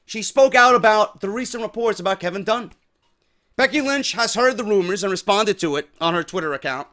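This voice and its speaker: A man hosting a wrestling news show, giving blunt, profane opinions and getting loud when worked up. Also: high-pitched, expressive, fairly quick. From New York.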